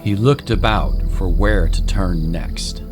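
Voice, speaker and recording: Guy narrating a dramatic story, slow low voice, heavy music behind him.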